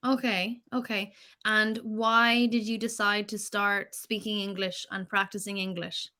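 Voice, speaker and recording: A woman's voice: mid-range voice, level tone, unhurried, bright, forceful tone. A woman from Ireland, tutoring English in a patient, encouraging way and asking follow-up questions. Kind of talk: online tutoring conversation.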